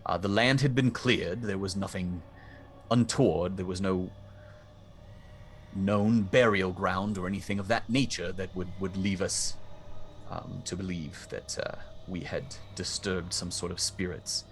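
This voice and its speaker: A man's voice: sits low, measured pace, slight background noise. A man playing an older homeowner who speaks formally and hesitantly, with many ums and restarts. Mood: polite. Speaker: game master.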